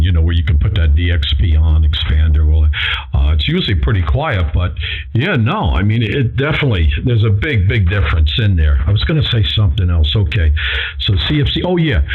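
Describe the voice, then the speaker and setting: Male ham radio operator, low and husky, loose rambling chat, narrow radio-band sound. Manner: rambling, mildly salty exclamations. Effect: ham radio transmission. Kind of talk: casual on-air radio chat.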